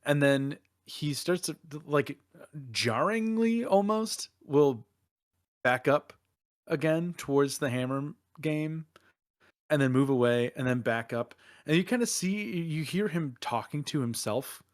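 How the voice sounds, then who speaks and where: A man's voice: a little high, lively intonation, unhurried, no echo, studio quality. A man playing a tabletop game, casually describing what his character does.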